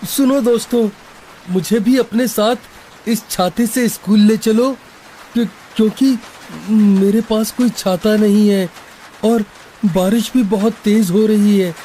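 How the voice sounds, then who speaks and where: Guy doing a worried little schoolboy, really high voice, slow and pleading, cartoon story style. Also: steady tone, mellow, slight background noise, low-quality recording. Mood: urgent pleading. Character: anxious, pleading young schoolboy in a horror tale. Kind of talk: animated story dubbing in Hindi.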